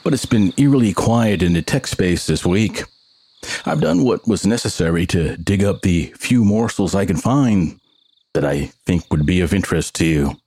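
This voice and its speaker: A man's voice: deep voice, animated, normal speed, a little mellow. A man hosting a podcast, reading scripted tech news commentary with a dry, wry delivery. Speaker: podcast host.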